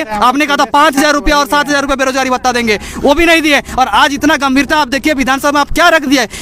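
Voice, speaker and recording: Fast, sharp, high-pitched young male protester. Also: slight background noise. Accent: Jharkhand. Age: young adult. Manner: indignant, insistent. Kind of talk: impassioned street interview.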